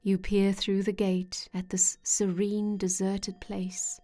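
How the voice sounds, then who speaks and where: A woman leading a scripted guided meditation in a gentle, soothing way with measured pauses. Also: medium pitch, little pitch movement. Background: soft meditation music with singing-bowl tones.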